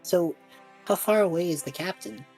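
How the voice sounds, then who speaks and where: Woman playing an awkward, low-voiced young male time traveller. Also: normal speed, warm tone, faint music behind, natural voice, rough recording. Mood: eager, awed. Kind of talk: improvised tabletop role-play.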